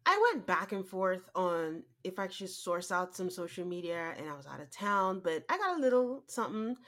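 A woman co-hosting a podcast, nasal and congested from a cold, chatting casually with quick asides and laughs. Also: on the low side, big pitch swings, fairly bright tone. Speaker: podcast co-host. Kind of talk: casual chat.

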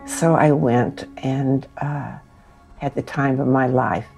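A woman in her eighties with an American accent, telling her own story with pauses. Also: extremely low pitch, steady tone, creaky, dark tone, soft background music. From Kansas City, United States. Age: 80s. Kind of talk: personal storytelling.

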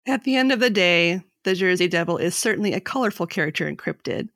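A woman in her thirties with a raspy, gravelly voice co-hosts a casual podcast. She reads her notes in a relaxed way, close on a dry mic. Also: fairly low pitch, fast talker, no room sound. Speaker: podcast co-host.

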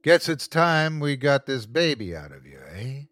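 A man's voice: medium pitch, big pitch swings, a bit bright, no room sound, clean studio sound. A man voicing a sadistic, taunting creature in a horror story, savoring every cruel word in a mocking, gloating way. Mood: cruel mockery. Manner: gloating, drawn-out mocking delivery. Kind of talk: horror audiobook character voice.

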